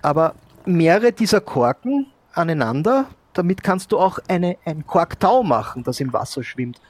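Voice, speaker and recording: A man improvising role-play dialogue as a cork shop owner, speaking haltingly. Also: fairly high pitch, very animated, no room sound. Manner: hesitant, halting. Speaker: player in an audio role-play.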